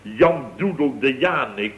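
A man's voice: mid-range voice, animated, takes it slowly, a little hiss or hum. A man acting an exasperated, sarcastic company boss in an old radio comedy, grumbling emphatically. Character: blustering, exasperated, sarcastic male boss of a building firm. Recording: dull old radio recording.

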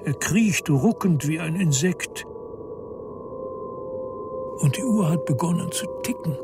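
A man's voice: fairly high pitch, animated, takes it slowly. A man narrating a radio play in a literary, dramatic storytelling manner. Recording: studio radio play with music underneath. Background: clearly audible music.

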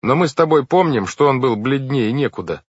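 Man as an earnest bookish boy, raised husky voice. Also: medium pitch, animated, brisk, dry recording, voice pushed up. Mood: confident reasoning. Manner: emphatic, explanatory. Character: clever, bookish, earnest young boy explaining things. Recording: dull, lo-fi, dry recording.

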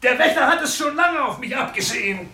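An actor in a German radio play as a harried, complaining male tavern keeper, loud and insistent. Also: extremely high pitch, expressive, fairly quick, heavily acted. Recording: echoey, noisy old radio recording with clicks.

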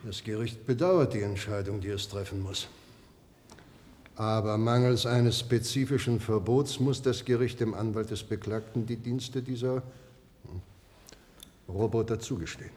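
A man's voice: fairly low pitch, steady tone, darkish tone, a little hiss or hum, natural voice. Man acting a stern judge in a reverberant hall. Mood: grave, resigned. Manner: measured, authoritative, formal. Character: male judge, stern, sceptical, dignified. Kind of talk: 1960s-1990s German radio drama acting. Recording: reverberant hall, old radio play.

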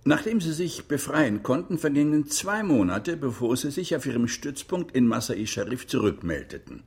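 A probing, sceptical interrogator in a radio drama. The voice is gravelly and rough, with a lively melody. His questioning is formal. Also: mid-range voice, normal speed, exaggerated voice.

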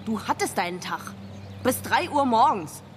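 An actress playing a fed-up, sharp-tongued ex-wife and mother who scolds curtly in colloquial radio drama style. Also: a little high, lively intonation, moderate pace, natural voice. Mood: angry, reproachful. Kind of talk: naturalistic German radio drama acting. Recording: faint background noise.

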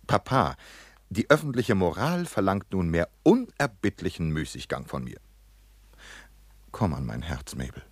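A witty, cynical young heir who plans never to work again. His voice is deep and husky, swinging widely with ironic glee. It sounds dry and close in a radio play. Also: sing-song, unhurried, no echo, full character voice. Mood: smug irony. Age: 20s. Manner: ironic, laughing, smug. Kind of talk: radio drama acting.

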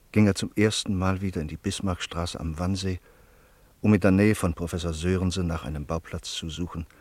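A man narrating a radio play in a sober, steady manner. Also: sits low, husky, no room sound. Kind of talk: scripted radio drama narration.

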